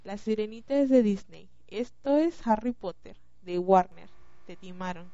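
A woman acts out a bossy but forgiving young witch prefect in an amateur radio play. Her tone is very mellow, her manner dry and sardonic. The home-made recording is slightly muffled. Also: mid-range voice, measured pace, lightly acted. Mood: scolding, sardonic.

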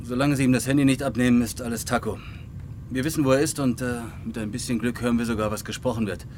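An actor playing a casual police surveillance technician in a crime radio drama, explaining in a colloquial, hesitant way. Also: male voice, mid-range voice, fairly even intonation, quick pace, close to an everyday voice. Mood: casual, hedging. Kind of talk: naturalistic German crime radio drama acting. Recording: faint background noise.

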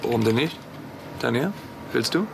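A man playing an eager, conciliatory software developer in a radio drama. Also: mid-range voice, lively intonation, moderate pace, creaky, background noise.

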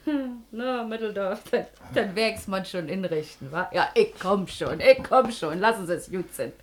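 A woman's voice: medium pitch, animated, normal speed, a little hiss or hum, lightly acted. An actress playing a shrewd, bossy working-class washerwoman in a radio play, scolding and wheedling in a Berlin accent. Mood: brisk, wheedling. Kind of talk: stage-comedy radio play acting in dialect.

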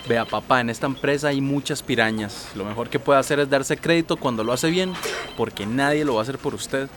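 A man's voice: medium pitch, brisk, fairly bright tone, slight background noise, close to an everyday voice. A man with a Costa Rican accent playing a frank, teasing, supportive office colleague in a radio soap opera.

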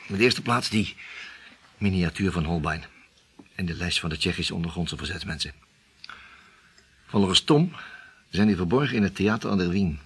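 A man's voice: low-pitched, animated, moderate pace, long pauses, slightly rough, heavily acted. A man playing a determined, level-headed detective. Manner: earnest, reasoning aloud. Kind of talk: radio play acting.

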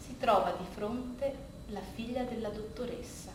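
A woman performing a theatrical monologue, telling a story dramatically with halting repetitions. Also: fairly high pitch. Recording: very echoey room with faint noise.

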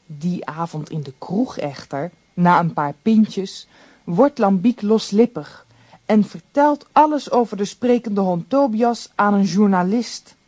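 A man narrating a children's radio play in a steady, plain, scripted way. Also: sits high, unhurried, no echo.

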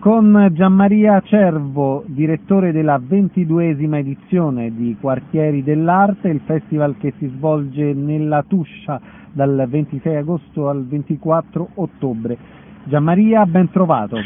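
A man's voice: a little high, a little slow. A man hosting a radio interview and introducing his guest. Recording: narrow phone-like radio sound.

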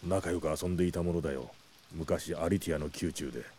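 A man playing a stern, dignified old knight advisor in an anime audio drama, speaking formally and gravely. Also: very deep voice, steady tone, a little fast, warm tone, a little hiss or hum. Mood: grave concern.

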